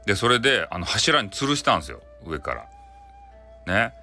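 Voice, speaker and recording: A man's voice: on the low side, big pitch swings, fairly quick, pushed and bright, faint music behind. A man with a Hakata accent telling a bedtime folktale in a casual, chatty, colloquial way. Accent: Hakata, Japan. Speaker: storyteller.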